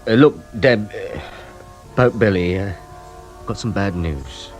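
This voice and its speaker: A man's voice: lowish voice, animated, a little slow, faint music behind. A man playing a wry, self-doubting police detective, confiding in a radio crime drama. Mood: awkward, grave. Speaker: narrator and lead detective character. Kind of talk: radio crime drama, first-person narration and dialogue.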